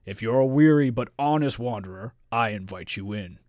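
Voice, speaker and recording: A man's voice: medium pitch, normal speed, darkish tone, no room sound, clean studio sound, close to an everyday voice. A man voicing a grumpy, blustering beast-man lord with theatrical indignation, reading from a fantasy storybook. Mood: grudgingly hospitable. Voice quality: raspy growl.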